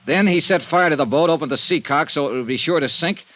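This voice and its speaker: An actor playing a cynical, world-weary insurance investigator with an American accent in an old-time radio detective drama. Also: male voice, on the high side, fast talker, a bit bright, no echo, natural voice. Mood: accusing, sardonic. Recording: vintage radio recording, faint music.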